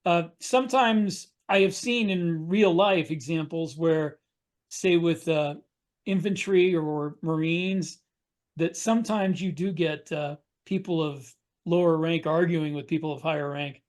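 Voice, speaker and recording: A middle-aged man with a high-pitched voice for a male speaker. He talks casually as a podcast co-host, in a halting, piecemeal way. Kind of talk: casual panel discussion. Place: home room indoors, on a video call.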